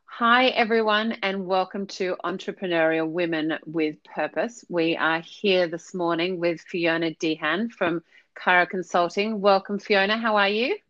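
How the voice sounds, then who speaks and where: A woman's voice: lowish voice, fairly even intonation, unhurried, a bit bright, no room sound, muffled recording. A woman hosting an interview, asking friendly and encouraging questions. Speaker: podcast host.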